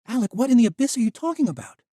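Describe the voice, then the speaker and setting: A man voicing a fierce young woman in grief, sharp and quick to anger, in an audiobook. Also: very high-pitched, big pitch swings, no room sound, studio quality, voice pushed up, full character voice. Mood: worried. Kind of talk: audiobook character voice.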